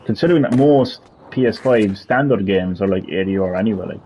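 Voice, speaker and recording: A man hosting a casual gaming stream, rambling, laughing often and reading web text aloud haltingly. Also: fairly low pitch, mellow, a little hiss or hum, rough recording. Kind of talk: casual gameplay chat.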